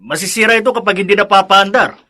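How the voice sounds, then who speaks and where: A practical Filipino man who looks out for his family in a radio drama. His voice is fairly high, bright and forceful, with a concerned manner. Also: fast talker.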